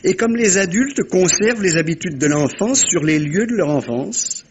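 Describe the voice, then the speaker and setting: An actor playing a self-assured, politely probing little Belgian detective in a theatrical French radio mystery. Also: male voice, sits high, lively intonation, moderate pace, slightly rough. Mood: reasoning, emphatic.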